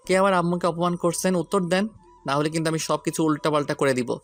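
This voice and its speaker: A man's voice: on the high side, little pitch movement, fast talker, lightly acted. Man acting a hot-headed, insistent young man. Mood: angry, demanding. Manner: insistent, pleading, defiant. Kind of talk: audio story acting. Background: background music.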